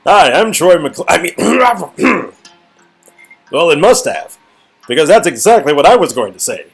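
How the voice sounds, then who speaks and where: A man's voice: a little high, sing-song, unhurried, vocal fry, slightly rough, soft background music, voice pushed up, full character voice. A man voicing an earnest, emphatic robot clone, eager to fight, in a game read-through. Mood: earnest, resolute.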